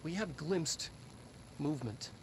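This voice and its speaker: An actor playing an eccentric middle-aged male head scientist in a video game, lecturing deliberately and haltingly in an instructional video. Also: fairly high pitch, animated, slight background noise. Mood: earnest lecturing. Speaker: head of research. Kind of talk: in-world instructional video presentation.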